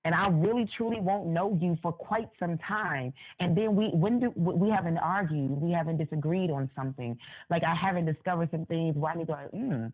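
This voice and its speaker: A woman's voice: fairly low pitch, fairly quick. A woman interview guest giving her opinions conversationally, repeating phrases for emphasis. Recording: thin phone-like line.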